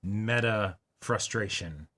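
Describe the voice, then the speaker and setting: A man with a bright, forceful voice pitched a little low chats as co-host of a reaction show. His delivery is casual and conversational. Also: takes it slowly. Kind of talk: casual discussion.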